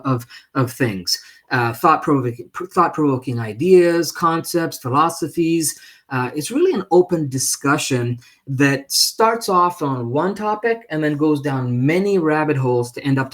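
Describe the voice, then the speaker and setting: A man hosting a live stream in casual, unscripted talk, speaking haltingly in a friendly way as he makes announcements. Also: a little high.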